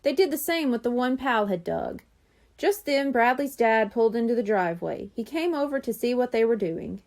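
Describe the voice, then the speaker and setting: A woman reading a children's chapter book aloud in an expressive storytelling manner. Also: on the high side, moderate pace. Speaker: audiobook reader.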